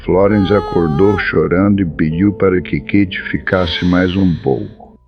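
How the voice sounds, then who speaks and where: Deep raspy guy narrating a story, dull lo-fi sound, soft music behind. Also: steady tone, measured pace. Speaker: narrator of a first-person account. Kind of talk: scripted dramatic storytelling.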